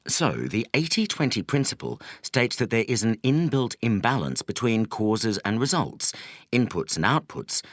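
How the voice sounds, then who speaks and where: A man reading a nonfiction audiobook as a scripted narrator, in measured, deliberate phrases with emphatic stresses. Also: fairly low pitch, fairly bright tone, no room sound, studio quality.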